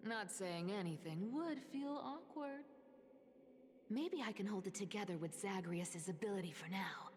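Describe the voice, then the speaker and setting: A woman's voice: mid-range voice, animated, normal speed, a little mellow, a little hiss or hum, natural voice. A woman voicing a sly, playful female thief who is greedy for treasure, musing aloud theatrically in a video game.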